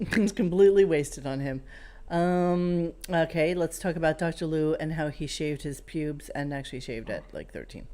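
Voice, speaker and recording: A woman's voice: low-pitched, moderate pace, mellow. A woman podcast host giving casual, mocking commentary in a conversational way.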